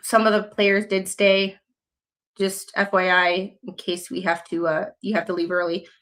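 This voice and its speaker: A woman's voice: mid-range voice, flat delivery, normal speed, fairly bright tone. Rambling woman livestreamer. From United States. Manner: rambling, many fillers and restarts; reads news excerpts aloud. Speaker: livestream host. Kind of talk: casual livestream commentary.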